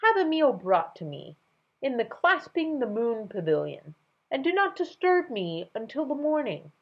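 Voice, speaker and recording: A playful, commanding storybook king, as a woman reader puts him on, speaks with regal decisiveness and an amused edge. The delivery is emphatic and swings widely in pitch, with a husky grain and a somewhat dull recording. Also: sing-song, moderate pace. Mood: imperious, decisive.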